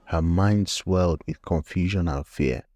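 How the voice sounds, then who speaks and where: A man's voice: sits low, measured pace, a little mellow. A man narrating a scripted folktale as the storyteller.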